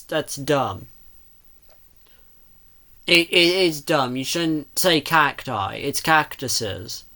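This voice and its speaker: Casting: casual reaction commentator, bright mid-range voice, rambling and full of questions. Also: medium pitch.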